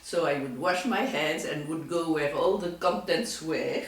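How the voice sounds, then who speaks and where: Slow, echoey older woman remembering. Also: low-pitched. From Belgium. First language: French. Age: 80s. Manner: unhurried, with frequent hesitations and restarts. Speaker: oral history interviewee. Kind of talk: reminiscing in a recorded interview. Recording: echoey room, mic far off.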